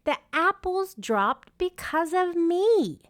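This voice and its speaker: A mighty female autumn wind from a picture book, played by a woman reader. She is proud and exasperated, pitched up and theatrical, drawing out her emphatic words slowly. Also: sing-song, heavily acted. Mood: scornful. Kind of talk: children's picture book read-aloud.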